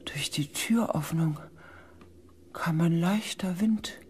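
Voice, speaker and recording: An actor playing a shaken space expedition member in a radio drama, with a husky, breathy voice and a hushed, halting manner. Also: male voice, on the high side, a little hiss or hum. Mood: tense, hushed. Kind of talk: radio drama narration and acting.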